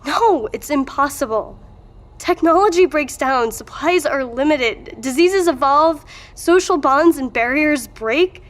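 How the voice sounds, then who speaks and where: Woman acting as high-voiced, skeptical, worried questioner. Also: animated, unhurried. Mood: insistent. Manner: earnest, questioning, argumentative. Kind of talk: audio drama dialogue.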